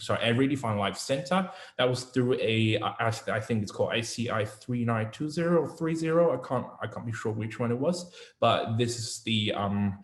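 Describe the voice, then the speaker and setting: A man in his twenties from China, giving a casual online talk as an alumni guest speaker. He speaks haltingly and thinks aloud as he goes. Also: on the low side, reverberant. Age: 20s. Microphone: video call.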